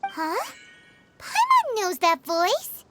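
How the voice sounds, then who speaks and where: A tiny childlike fairy for an anime game. The voice is extremely high and very animated, full of nosy, excitable exclamations. Music plays underneath. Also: female voice, very slow, exaggerated voice. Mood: excited. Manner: speaks of self in third person, exclamatory. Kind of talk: anime video game voice acting. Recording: music behind the voice.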